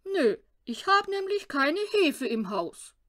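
A woman's voice: high-pitched, sing-song, slow, fairly bright tone, voice pushed up, full character voice. A woman voicing a small, sleepy, unbothered male poodle puppy that talks politely in a storybook. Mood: lazy refusal.

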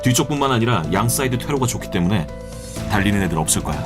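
Brief: man as a composed student activist leader, low and steady, faint music underneath. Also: close to an everyday voice. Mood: steady, commanding. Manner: brisk and commanding, plans like a field officer. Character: calm, confident male student protest leader. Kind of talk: audio drama acting.

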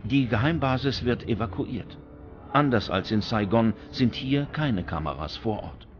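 A man's voice: mid-range voice, lively intonation, unhurried, dull audio. A man reading scripted documentary narration as a narrator. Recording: music under the voice. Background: background music.

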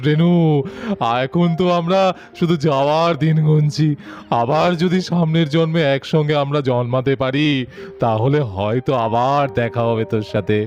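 A man's voice: a little high, steady tone, moderate pace, darkish tone, highs cut off, lightly acted. A man voicing a fond, nostalgic old sweetheart in a sentimental, chatty way for a puppet cartoon. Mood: tender, wistful. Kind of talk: Bengali puppet cartoon voice acting.

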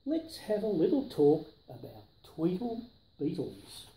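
A very mellow grandfatherly man in his seventies reads a children's rhyme aloud with lively swings in pitch. He stresses each word with care. The living room adds some echo to his voice. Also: measured pace, a little hiss or hum. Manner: careful, emphatic tongue-twister delivery. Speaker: storyteller grandfather. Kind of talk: reading a children's rhyming book aloud. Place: indoors, living room on a sofa. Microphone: camera a few feet away.

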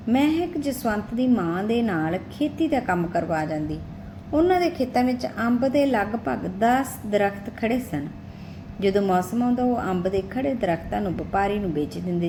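A woman narrating a scripted story as an audiobook-style storyteller. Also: medium pitch. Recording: clearly noisy recording with background ambience.

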